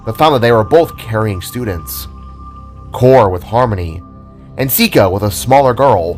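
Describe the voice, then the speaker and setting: A man narrating a scripted audio drama in a solemn, theatrical manner. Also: fairly low pitch.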